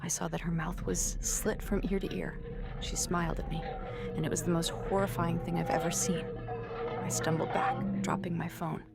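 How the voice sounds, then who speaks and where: A woman playing a frightened young American student, giving a hushed, breathy confession in a horror film monologue. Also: lowish voice, level tone, moderate pace, loud music behind the voice. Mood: shaken, dread. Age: young adult. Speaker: narrator of found-footage horror film. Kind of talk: first-person horror monologue, confessional recording. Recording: very noisy found-footage style recording, ambient hiss.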